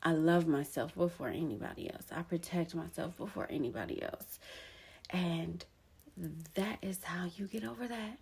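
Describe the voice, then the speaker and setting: A woman in her thirties with a husky voice, giving earnest, encouraging advice from her own experience in a casual personal talk. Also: fairly low pitch, lively intonation, moderate pace, a little mellow. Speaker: vlogger sharing her story.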